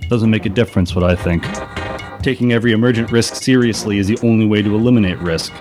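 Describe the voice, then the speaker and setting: An actor playing a serious, no-nonsense police lieutenant commander in an audio dramedy, terse and matter-of-fact. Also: male voice, lowish voice, fast talker, natural voice. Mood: urgent, serious. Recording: music behind the voice.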